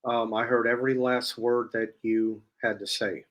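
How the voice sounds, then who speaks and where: A man's voice: mid-range voice, little pitch movement, moderate pace, fairly bright tone. A man explaining an app as a guest in casual chat, rambling and repeating himself. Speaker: guest demonstrating a phone app.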